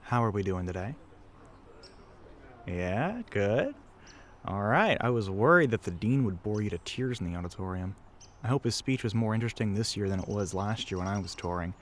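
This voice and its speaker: A man playing a low-voiced, teasing young tour guide. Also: expressive, moderate pace, slight background noise, close to an everyday voice. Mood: upbeat, projecting. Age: young adult. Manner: casual, chatty, teasing, sighs between remarks. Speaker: audio roleplay voice actor. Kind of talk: scripted roleplay addressed to the listener.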